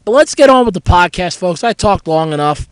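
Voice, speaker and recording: Hoarse man with a cold, rambling. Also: high-pitched, no room sound. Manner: rambling, candid and chatty. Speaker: podcast host. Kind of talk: casual solo podcast chat.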